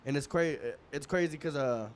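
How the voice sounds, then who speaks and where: A man in his thirties hosting a podcast, chatting in a relaxed, rambling way in a casual interview. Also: a little high, normal speed, slight background noise. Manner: relaxed, rambling, many restarts and fillers. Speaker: podcast host.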